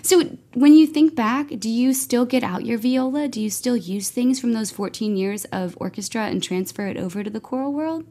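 A woman in her forties hosting a music education podcast, enthusiastic and quick to cheer her guest on over a video call. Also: on the high side, dark tone. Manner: enthusiastic, effusive, laughs easily. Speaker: podcast host. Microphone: earbuds on a video call.

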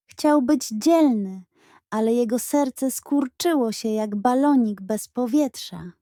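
A woman reading a scripted bedtime story for children in an expressive, careful storytelling manner. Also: on the high side, no echo, studio quality. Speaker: audiobook narrator.

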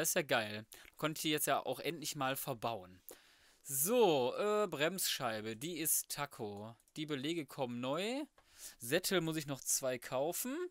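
A man's voice: mid-range voice, fairly bright tone, dry recording, studio quality. A young man commenting casually on his gameplay, thinking aloud in slangy, colloquial speech. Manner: colloquial, slangy, muttering to himself. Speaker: let's player.

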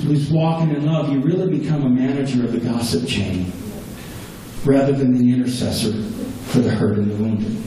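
A male pastor with a high, mellow voice delivers an earnest sermon, driving points home by repeating them. He is heard from far off in a small church with heavy echo and a faint hum underneath. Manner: earnest, repeats key phrases for emphasis. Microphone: far camera at back of room. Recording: echoey room, distant camera, faint hum.

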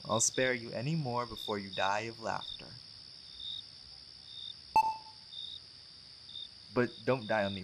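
Man acting as laid-back male vampire, playful, charming, teasing, animated intonation, casual audio roleplay, distinct cricket noise in background. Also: medium pitch, close to an everyday voice. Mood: punning, amused. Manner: joking, teasing, often chuckling. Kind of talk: audio roleplay, casual one-sided chat to a friend.

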